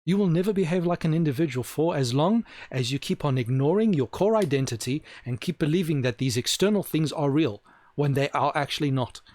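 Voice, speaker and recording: A man with a somewhat high voice delivers a forceful solo monologue, repeating his points for emphasis. He slows almost to a crawl on the words he wants to land. The recording is close and dry, with no room sound. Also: animated, no echo. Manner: slows down to stress key words. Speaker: video host.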